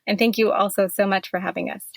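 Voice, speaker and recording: A woman's voice: mid-range voice, fairly even intonation, fast, vocal fry, no echo. Young Californian woman, dry close sound. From California, United States. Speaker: podcast guest, cardiology fellow. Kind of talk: casual interview talk.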